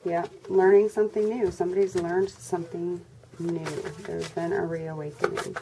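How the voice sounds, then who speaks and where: A congested woman giving a casual tarot reading to her viewers in a flat, monotone way. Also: normal speed. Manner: monotone, low-energy delivery. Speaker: tarot reader. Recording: noisy recording with birds chirping. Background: birds and background noise.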